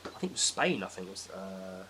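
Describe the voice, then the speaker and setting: A man's voice: sits low, sing-song, fairly quick, a crowd in the background. A man with a British accent chatting casually as a podcast guest, blunt and opinionated. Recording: clear background noise.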